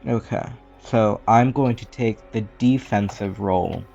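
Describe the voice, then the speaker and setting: A man's voice: lowish voice, a little slow, vocal fry, warm tone. A man playing a tabletop game, talking casually and asking about the rules as he plans moves. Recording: slightly muffled, music underneath. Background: background music.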